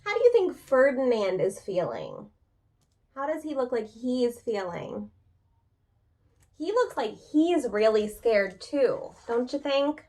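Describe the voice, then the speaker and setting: A woman's voice: on the high side, big pitch swings, takes it slowly, dark tone. A woman reading a children's picture book aloud like a preschool teacher, expressively, with casual, chatty asides and questions to young listeners. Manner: informal, chatty explanations.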